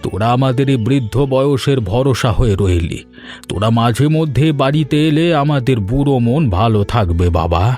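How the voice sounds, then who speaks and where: A man acts out an elderly Bengali village farmer from a cartoon folk tale. He is humble and sentimental, addressing his family with fatherly affection. His pitch is middling, and music plays softly behind him. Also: lively intonation, normal speed, natural voice. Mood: moved, grateful. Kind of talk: animated folk story dialogue. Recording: music bed under the voice.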